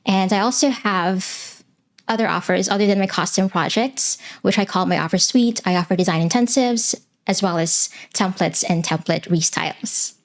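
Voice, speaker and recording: A young adult woman from the Philippines, English not her first language, answering interview questions in an earnest, measured way with frequent pauses. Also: medium pitch. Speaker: web designer guest.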